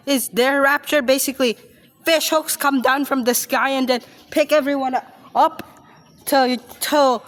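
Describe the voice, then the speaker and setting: A woman giving casual reaction commentary, rambling and often repeating herself. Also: high voice. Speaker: reaction video host.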